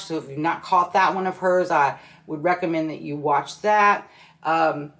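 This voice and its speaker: Low-voiced woman in her sixties, chatty. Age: 60s. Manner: chatty, with frequent ums and self-corrections. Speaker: fashion vlogger. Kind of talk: casual talk to camera.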